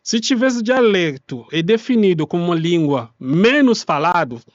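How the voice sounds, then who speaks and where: A man's voice: high-pitched, big pitch swings, moderate pace, no room sound, dull audio. A man from Kinshasa with a Congolese accent, a native speaker of Congolese languages, explaining in non-native, loosely grammatical Portuguese as an interview guest. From Kinshasa, Democratic Republic of the Congo. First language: Congolese languages such as Lingala. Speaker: interview guest, refugee rights activist.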